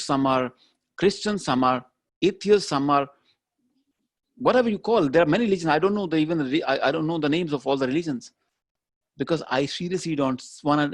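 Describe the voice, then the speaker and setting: A man's voice: on the high side, no echo, clean studio sound. A man giving a motivational keynote speech, talking emphatically and repeating his key phrases. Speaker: keynote speaker.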